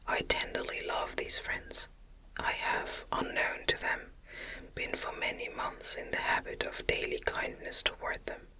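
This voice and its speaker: Casting: female narrator as a lonely, earnest monster for an audiobook, fully whispered, very bright hiss, literary and pleading. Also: low-quality recording. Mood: anxious, tender. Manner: formal, earnest, literary phrasing. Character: anguished, pleading male monster, eloquent and lonely. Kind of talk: whispered ASMR audiobook reading.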